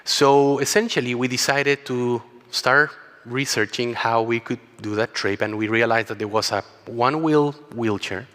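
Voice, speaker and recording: A man's voice: medium pitch, pushed and bright. Chilean man speaking in a ringing hall. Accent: Chilean Spanish. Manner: earnest, storytelling, with repeated words and restarts. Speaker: keynote speaker. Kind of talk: personal story talk. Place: large conference hall. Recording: long hall reverb.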